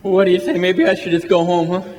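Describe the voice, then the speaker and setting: An actor playing a jolly, bumbling older uncle in a radio drama, folksy and merry. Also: male voice, high-pitched, little pitch movement, fast talker, darkish tone, close to an everyday voice. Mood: tipsy, merry. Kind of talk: stage-style radio drama acting. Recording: hall reverb, music behind.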